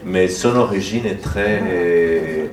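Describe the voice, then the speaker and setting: A man whose first language is Portuguese, speaking French at a panel and halting as he searches for words. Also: deep voice, echoey room, recorded from a distance, a crowd in the background. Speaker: novelist. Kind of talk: panel discussion.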